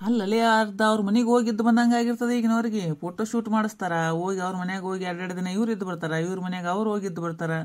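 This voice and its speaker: A woman with a North Karnataka accent playing a blunt, exasperated middle-aged relative in a family skit, brisk and scolding. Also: mid-range voice, steady tone, darkish tone, lightly acted.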